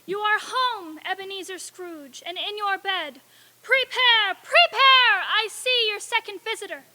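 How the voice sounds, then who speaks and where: A woman playing a solemn, commanding spirit in a radio-play reading, deliberate and otherworldly. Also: very high-pitched, noisy background. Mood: urgent. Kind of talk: old-time radio stage reading.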